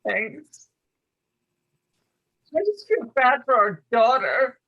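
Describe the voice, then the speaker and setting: A woman's voice: fairly high pitch, animated, takes it slowly, highs cut off, exaggerated voice. A woman playing a shy, polite newcomer in a play reading, speaking tentatively. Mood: sad.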